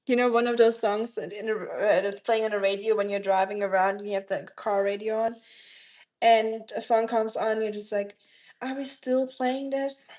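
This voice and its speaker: A woman's voice: medium pitch, steady tone, normal speed, darkish tone. A woman from Germany with a German accent, chatting casually on a livestream and answering viewers in a rambling, teasing way.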